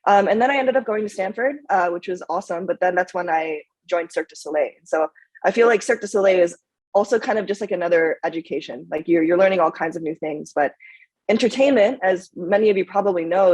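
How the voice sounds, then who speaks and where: A young woman with Japanese as her first language talks quickly and openly as a panel guest. Her voice has a husky edge, and the sound is dry and close. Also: fairly low pitch, a little mellow, dry recording, low-quality recording. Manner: open, conversational, reflective. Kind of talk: casual panel talk.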